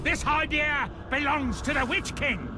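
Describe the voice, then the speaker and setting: Very high-pitched, blustering orc warrior. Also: male voice, unhurried, heavy background noise. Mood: furious. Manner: shouted, blustering insults. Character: brutish, taunting orc warrior. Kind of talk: dramatic fantasy game acting. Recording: loud music underneath.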